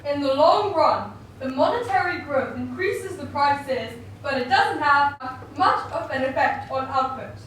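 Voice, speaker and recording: Woman voicing a high-pitched economist puppet, very echoey. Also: animated, measured pace, few pauses, a bit bright. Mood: polite, lecturing. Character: famous male monetarist economist puppet, opinionated and dismissive. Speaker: interview guest. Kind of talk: scripted puppet show interview. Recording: very echoey room, distant mic, faint noise.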